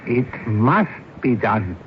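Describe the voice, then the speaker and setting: Through a muffled, noisy vintage radio recording comes an insistent doctor. His medium-pitched voice is deliberate and commanding, pressing each pointed question home with cool authority. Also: very animated. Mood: probing, insistent. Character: probing, insistent male doctor, coolly authoritative. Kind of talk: 1970s radio drama acting.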